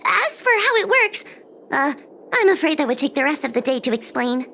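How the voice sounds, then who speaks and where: Very high, timid fox-girl exorcist. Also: sing-song, normal speed, vocal fry, sharp tone, exaggerated voice. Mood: earnest, unsure. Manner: hesitant, stammering, apologetic. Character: timid, earnest young fox-girl exorcist stammering through expertise. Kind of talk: anime-style game voice acting. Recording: game music behind the voice.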